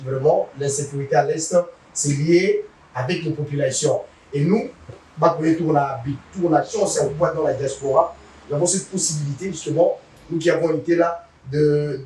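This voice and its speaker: A man hosting a TV interview, pausing often with fillers and addressing viewers formally. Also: on the high side, darkish tone, far from the mic. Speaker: talk show host. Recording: roomy sound from off-mic.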